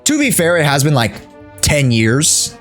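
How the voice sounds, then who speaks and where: A man's voice: a little high, wildly swooping pitch, a little slow, fairly bright tone, soft background music. A man casually chatting as a game streamer over gameplay, slangy and teasing.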